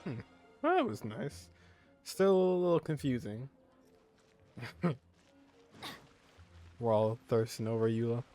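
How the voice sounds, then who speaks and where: A man's voice: mid-range voice, expressive, takes it slowly, long pauses, darkish tone. A man casually reacting to a video game as he streams it. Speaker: gaming streamer. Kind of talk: casual reactions over gameplay. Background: game music.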